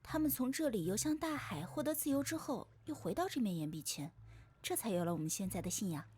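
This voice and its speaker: A woman's voice: fairly high pitch, big pitch swings, fast, a little mellow, breathy edge. A woman playing a composed young storyteller in a visual novel. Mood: calm storytelling. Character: composed young woman recounting her family's old legend. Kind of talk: visual novel character dialogue.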